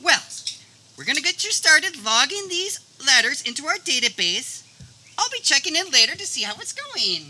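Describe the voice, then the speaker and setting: A woman's voice: high-pitched, very animated, normal speed, sharp tone, slight background noise, full character voice, low-quality recording. A woman playing a cheery male office manager in a comedic radio play. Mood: welcoming, chatty. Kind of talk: comedic radio theater acting.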